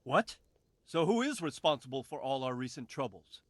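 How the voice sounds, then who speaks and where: A man's voice: medium pitch, very animated, normal speed. An actor playing a decisive nobleman who gives orders in a game cutscene, authoritative. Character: commanding middle-aged lord, decisive. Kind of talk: video game cutscene acting.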